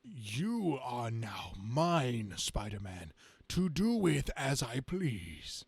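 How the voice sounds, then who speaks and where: Casting: a man performing a mind-controlling comic supervillain, male, grandiose and theatrical, creaky, deliberate delivery, dry close sound. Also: medium pitch, sing-song, no room sound, heavily acted. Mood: gloating, menacing. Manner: grandiose, slow, theatrical declaiming. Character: gloating, imperious comic-book supervillain who commands minds.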